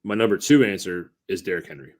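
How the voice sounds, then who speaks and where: A man's voice: sits low, expressive, fast. A man co-hosting a casual sports podcast, making his points emphatically and repeating them for stress. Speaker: podcast co-host.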